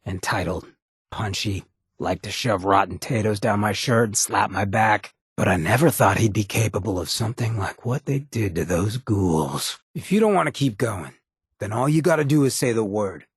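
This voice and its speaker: A swaggering male ghoul companion from a video game. His American voice is shredded and gravelly, sitting fairly low, in a close, dry recording. He talks in a folksy, streetwise drawl, sardonic yet loyal underneath. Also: lively intonation, normal speed, no room sound, exaggerated voice. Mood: bitter, reflective. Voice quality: very raspy, gravelly.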